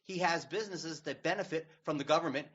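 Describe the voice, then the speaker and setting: Muffled, higher-voiced sarcastic man on talk radio. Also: fast talker, fairly bright tone, slightly rough. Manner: sarcastic, argumentative. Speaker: political radio panelist. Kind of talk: opinionated talk radio discussion.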